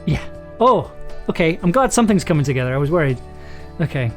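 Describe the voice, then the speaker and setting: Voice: mid-range voice, big pitch swings, quick pace. A streamer who thinks aloud while drafting a journaling game entry, often hesitating, trailing off and rereading drafted lines. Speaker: streamer and writer. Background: faint music and keyboard typing.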